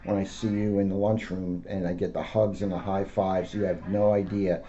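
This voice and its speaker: Dark, mellow-voiced American man, muffled recording. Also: low voice, level tone, normal speed. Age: middle-aged. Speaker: school resource police officer reading a children's book. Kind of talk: read-aloud and informal talk to schoolchildren. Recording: dull lo-fi audio with faint noise.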